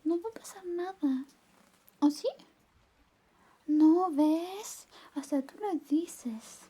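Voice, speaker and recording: Woman acting out high, whispery, flirty best friend. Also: drawn-out words. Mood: affectionate, flirty. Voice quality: soft, breathy whispering mixed with soft voice. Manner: coaxing, intimate, lingering. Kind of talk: Spanish ASMR roleplay, whispered personal attention.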